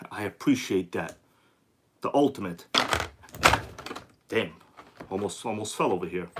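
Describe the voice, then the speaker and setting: Bright-voiced sick man hosting a livestream. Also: medium pitch. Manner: chatty, greets viewers by name, slangy. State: sore throat and congested. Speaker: livestream host. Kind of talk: casual live-stream chat.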